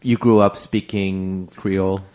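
A man's voice: deep voice, level tone, unhurried, darkish tone. A man interviewing a guest, asking short, direct questions in a casual tone.

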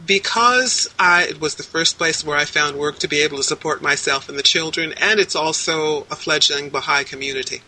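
A woman in her sixties, answering interview questions over a video call in a measured, articulate way, listing details one after another. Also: deep voice, sharp tone, slight background noise, rough recording. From United States.

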